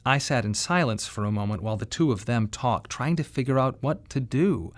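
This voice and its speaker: An actor playing a grieving father, speaking in a measured, wry radio monologue with pointed pauses. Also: mid-range voice, animated, natural voice. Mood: reflective. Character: grieving bereaved father, wry, tense and plain-spoken. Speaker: narrator and lead of a monodrama. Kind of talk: dramatic first-person radio monologue.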